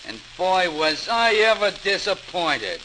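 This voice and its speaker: A scoffing, disgruntled fellow in a 1940s radio show. His voice sits very high and cuts forcefully through light crackle as he whines in slangy complaints. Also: big pitch swings, slow pace, exaggerated voice. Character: disgruntled, mouthy male radio listener grumbling and scoffing.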